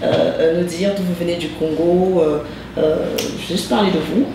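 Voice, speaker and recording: A woman's voice: lowish voice, fairly even intonation, a little slow. A woman hosting a web TV interview, asking questions with many hesitations and restarts. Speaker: host. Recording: echoey, distant mic with hum.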